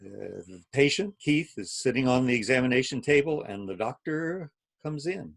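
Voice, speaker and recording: A man acts as host on a video call. He is plain-spoken and easygoing, with a mid-pitched voice, and talks informally as he introduces things to an audience. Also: expressive, normal speed, vocal fry. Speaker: host and narrator of an online play-reading evening.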